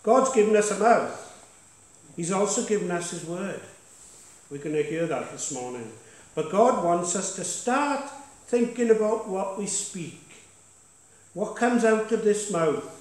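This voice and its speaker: Man pastor, slightly raised pitch, firm exhortation to a congregation, heavy church echo. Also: lively intonation, unhurried. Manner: emphatic, declaring, asks the congregation questions. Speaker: pastor leading worship. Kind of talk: sermon exhortation. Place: church sanctuary. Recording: echoey church hall.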